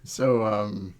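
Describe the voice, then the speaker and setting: A man hosting a casual podcast chat, talking in a halting, hedging way as he thinks aloud. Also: on the low side. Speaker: podcast host.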